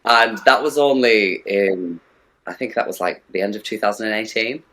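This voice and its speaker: Camp chatty man, low voice. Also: normal speed, sharp tone, rough recording. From northeast England. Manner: camp and chatty, says "do you know what I mean". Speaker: guest, drag performer. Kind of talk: casual interview chat.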